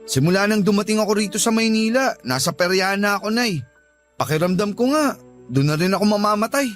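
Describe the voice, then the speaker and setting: High-voiced, grumbling young Filipino son. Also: male voice, expressive, a little fast, fairly bright tone, soft background music. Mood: resigned, bitter. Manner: plaintive, grumbling. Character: sullen, discouraged young working-class son and father. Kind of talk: Tagalog radio drama acting.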